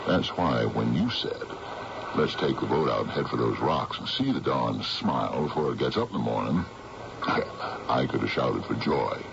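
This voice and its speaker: An actor playing an older, folksy uncle in a radio drama, with a gravelly voice and a halting, reflective manner. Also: male voice, extremely low pitch, expressive, full character voice. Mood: confiding, concerned. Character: calm, folksy older uncle who sails. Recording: dull, noisy vintage radio recording.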